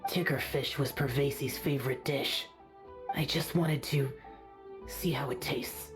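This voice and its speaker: A man's voice: mid-range voice, fairly even intonation, a little slow, over music, lightly acted. An actor playing an aloof, brooding young male demon-slayer in a video game, terse and solemn. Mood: reserved.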